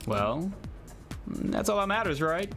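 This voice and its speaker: A man's voice: on the high side, big pitch swings, slow pace, vocal fry, a bit bright, faint music behind, exaggerated voice. Man reading as a wry, sardonic detective. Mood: wry, teasing. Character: wry, joking counselor-detective arriving at work. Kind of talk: visual novel game read-aloud.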